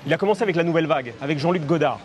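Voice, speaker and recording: A man's voice: a little high, racing, slight background noise. A man presenting a film segment on a talk show, enthusiastic and full of restarts. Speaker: film columnist. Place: outdoors at a café terrace.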